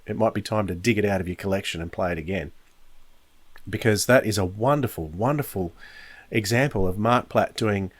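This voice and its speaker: A man with a fairly low voice presents a podcast review. He is conversational and explanatory, measured in his opinions, recorded close and dry. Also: no room sound. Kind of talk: spoken review, conversational commentary.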